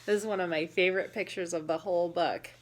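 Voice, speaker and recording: A woman reading a picture book aloud to young children, pausing to explain and ask questions in a deliberate, instructive way. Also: on the low side, fairly even intonation, a little hiss or hum.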